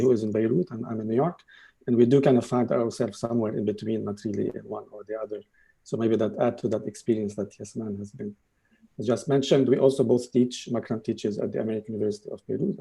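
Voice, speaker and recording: Architect guy on a video call panel, super dark gravelly voice, bit husky. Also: lowish voice, low-quality recording. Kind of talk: online panel discussion.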